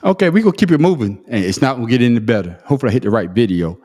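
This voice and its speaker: A man's voice: mid-range voice, lively intonation, very fast, a little mellow. Emphatic man hosting a heated podcast. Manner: repetitive restarts, emphatic. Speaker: podcast host. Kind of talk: heated casual debate.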